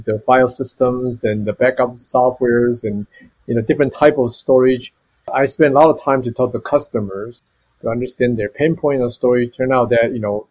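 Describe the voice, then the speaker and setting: A man's voice: medium pitch, a little mellow, low-quality recording. A man in his fifties from Taiwan, a storage technology executive answering interview questions earnestly and listing many examples.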